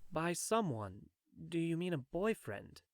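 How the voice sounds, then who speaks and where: A man's voice: a little high, big pitch swings, measured pace, no room sound, studio quality. A man voicing an earnest, mild young male gamer with an apologetic manner in a fantasy audiobook.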